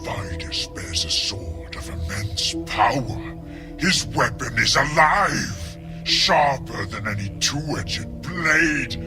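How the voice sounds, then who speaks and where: A mighty, brooding giant from an allegorical audio drama. He speaks with grave menace at a very drawn-out pace. His delivery swings widely in pitch, with music clearly playing underneath. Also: lively intonation. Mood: grim scheming. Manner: slow, grave, ominous. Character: brooding tyrant giant of selfishness, dark and mighty.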